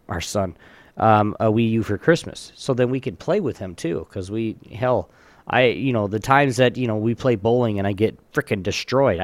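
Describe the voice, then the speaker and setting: A man hosting a trivia game in a casual chat, often halting and restarting his phrases. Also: on the low side, dry recording. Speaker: podcast host running a trivia game.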